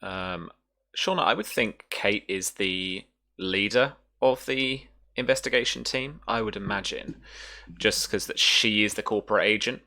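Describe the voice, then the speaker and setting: A game master narrating a sci-fi tabletop roleplaying game in short, hesitant phrases with deliberate pauses. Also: mid-range voice, bright, forceful tone.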